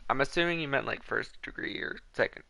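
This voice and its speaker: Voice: medium pitch, brisk, vocal fry, pushed and bright, no echo. A game streamer chatting casually with viewers and giving enthusiastic, conversational fan commentary. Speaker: livestream host.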